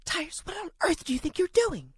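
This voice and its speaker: A performer voicing a stern, skeptical woman librarian in a radio drama, reproving and a little motherly in a hushed way. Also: very high voice, sing-song, fairly quick, dry recording, clean studio sound, pitched above the performer's own voice, exaggerated voice. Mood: disapproving.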